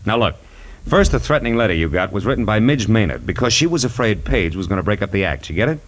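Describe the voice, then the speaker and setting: An actor playing a tough, wisecracking American private detective in a 1940s radio noir drama, slangy and sardonic. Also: male voice, fairly low pitch, very animated, fast. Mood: weary, sarcastic. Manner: hard-boiled, slangy, sardonic. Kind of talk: 1940s radio noir acting and first-person narration. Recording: 1940s radio recording with music.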